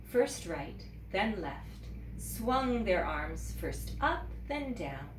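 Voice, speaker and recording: A woman teacher reading a children's story aloud in an expressive, animated way, pausing to check in with listeners. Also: mid-range voice. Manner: expressive, pauses to ask listeners questions. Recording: roomy echo, distant mic, faint noise.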